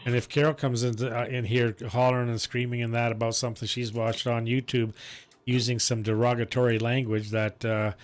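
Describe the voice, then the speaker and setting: A man hosting an amateur radio net from his home radio room, talking casually and haltingly into a boom microphone with many fillers. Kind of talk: casual on-air radio chat.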